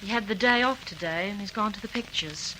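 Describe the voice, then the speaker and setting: A woman's voice: mid-range voice, fairly quick, sharp tone, a little hiss or hum, natural voice, poor audio. A woman playing a polite, anxious mother of a grown son in a radio drama. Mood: polite, uneasy. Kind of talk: classic radio drama acting.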